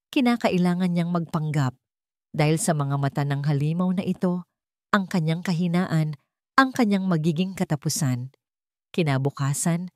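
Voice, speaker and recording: A woman with a Filipino accent narrates a romantic drama in a low voice. Her delivery is measured and theatrical, broken into short phrases, and the recording is dry and close. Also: a little mellow, no echo. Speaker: storyteller. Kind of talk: scripted dramatic narration of a Tagalog love story.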